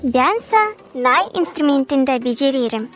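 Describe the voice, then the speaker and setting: A woman's voice: high voice, unhurried, faint music behind. A woman voicing an eager young animal child in a cartoon, chummy and enthusiastic. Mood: keen. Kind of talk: cartoon character acting.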